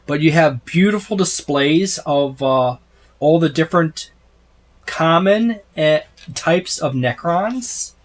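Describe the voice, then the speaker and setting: A man in his thirties walks through a tabletop rulebook in a casual, rambling way, full of fillers and false starts. His voice sits a little high for a man. He talks close to the microphone in a room packed with game shelves. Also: lively intonation, takes it slowly. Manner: rambling, many fillers and restarts. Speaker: tabletop gaming video host. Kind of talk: casual book overview. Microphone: special microphone, close.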